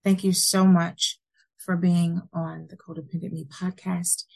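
A woman's voice: fairly low pitch, level tone, measured pace, vocal fry, a little mellow. Effusive woman podcast host. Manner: effusive, gushing with praise and thanks. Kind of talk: casual interview talk.

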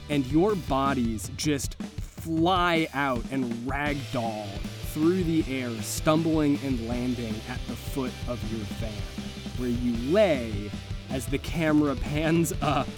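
Man narrating a tabletop game over music. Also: medium pitch. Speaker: game master. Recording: music bed under the voice.

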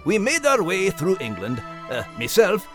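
A man's voice: high-pitched, wildly swooping pitch, normal speed, fairly bright tone, full character voice. A man playing a plucky, grumbly but good-hearted dog with a Scottish accent in a children's audio drama. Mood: folksy, blustery. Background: music.

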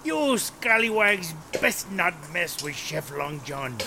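An actor playing a grumpy, scolding pirate cook with pirate-speak in a comedy audio drama. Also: male voice, high-pitched, very animated, takes it slowly, gravelly, noisy background, full character voice. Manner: blustering, scolding.

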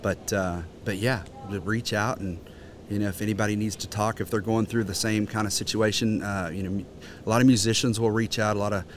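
A man's voice: fairly low pitch, steady tone, a little fast, a little hiss or hum. A man in his forties, a guest in a casual interview, talking earnestly with many restarts. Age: 40s. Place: outdoor conference grounds.

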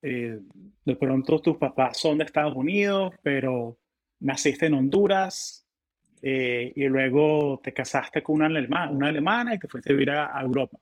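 A man hosting a podcast, asking questions in a relaxed, chatty way with frequent restarts. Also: mid-range voice, normal speed. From Latin America. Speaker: podcast host. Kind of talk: conversational interview.

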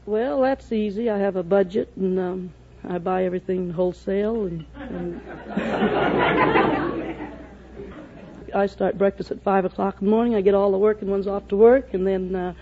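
A woman's voice: mid-range voice, moderate pace, pauses often, some chatter behind. A middle-aged woman answering a quiz host's questions as a contestant, plain-spoken and hesitant. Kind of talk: unscripted interview answers. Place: stage before a studio audience. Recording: phone-like old radio recording, faint noise.

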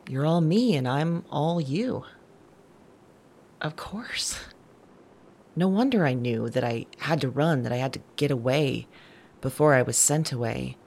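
Slow, halting, anxious fugitive woman, acted. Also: rumbling low, exaggerated voice. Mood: dawning realisation. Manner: halting, self-correcting, repeats words. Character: fugitive visionary woman, anxious, searching, talking to spirits. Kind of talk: naturalistic audio drama monologue.

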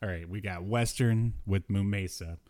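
A man with a fairly low voice co-hosts a casual review podcast. He talks in a loose, rambling way, with slangy turns of phrase. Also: moderate pace, a little mellow. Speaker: podcast co-host. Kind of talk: casual review chat about cartoons.

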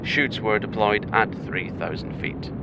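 A man playing a calm, dryly humorous British astronaut captain in a sci-fi audio drama, reporting in clipped, formal phrases. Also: measured pace, gravelly. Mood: composed, focused. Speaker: mission captain. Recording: noisy craft rumble behind.

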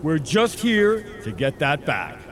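A man playing the brisk, direct leader of a team of scientists in a sci-fi audio drama. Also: a little high, sing-song, fairly bright tone, slight background noise, exaggerated voice. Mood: resolute. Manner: authoritative, direct.

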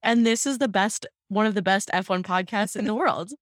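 A woman's voice: medium pitch, fairly quick, no room sound, studio quality. A young woman co-hosting a casual chat podcast, upbeat and giggly with lots of fillers, fighting allergies. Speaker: podcast co-host.

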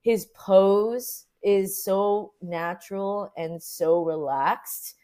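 A woman's voice: mid-range voice, drawn-out words, dark tone. An American woman being interviewed as a photographer, talking casually and informally, thinking aloud as she goes. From Orange County, United States.

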